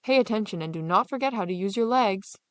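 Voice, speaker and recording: A woman voicing a weary, soft-hearted father in a fairy tale, plain, caring and mildly stern. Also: mid-range voice, lively intonation, fast, dry recording, natural voice. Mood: fatherly.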